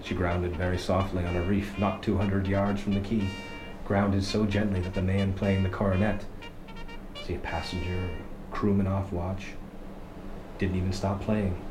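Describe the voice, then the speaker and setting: An actor playing a haunted lighthouse keeper who tells a horror tale in a brooding, halting, dramatic radio play monologue. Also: male voice, low-pitched, level tone, over music. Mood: tense, hushed dread. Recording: echoey, noisy location recording in lighthouse.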